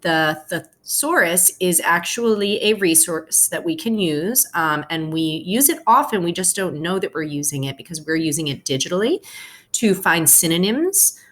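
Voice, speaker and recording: A woman's voice: lowish voice, animated, normal speed, fairly bright tone. A woman teacher speaking to students as she reads a children's picture book aloud, explaining things informally and phrasing carefully.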